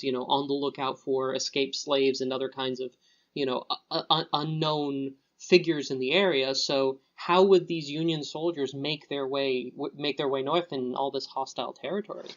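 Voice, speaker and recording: A man with a fairly high voice hosts a podcast and puts a question to his guest. He feels his way through it with many fillers and restarts. The audio is dull and lo-fi. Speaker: podcast host. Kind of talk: interview questions.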